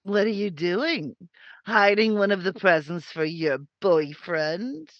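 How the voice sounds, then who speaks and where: An actress playing a hostile, suspicious middle-aged mother-in-law in a 1950s-style radio crime drama, with scornful, drawn-out accusations. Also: medium pitch, no echo, muffled recording, lightly acted. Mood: accusing.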